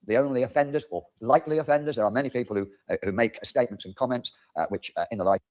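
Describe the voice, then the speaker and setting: A man's voice: mid-range voice, very fast, a little mellow, slight background noise. A middle-aged man speaking formally as a councillor summing up in a meeting.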